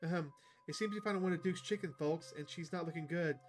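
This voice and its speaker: A man's voice: fairly high pitch, fairly quick, soft background music, lightly acted. Man reading as chatty outdoorsy woman cryptid hunter. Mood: concerned, narrating. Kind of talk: visual novel dialogue read aloud.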